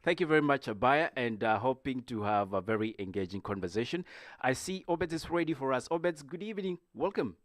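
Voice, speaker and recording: A man hosting a political discussion, speaking in a measured way with frequent fillers. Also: mid-range voice, animated, no echo, studio quality. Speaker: host.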